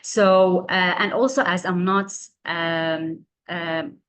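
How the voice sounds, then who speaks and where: Syriac-speaking woman in her 30s giving a talk on a video call, lowish voice, earnest, searches for words. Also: steady tone, takes it slowly, fairly bright tone, slight background noise. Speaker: guest speaker. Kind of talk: online presentation to students.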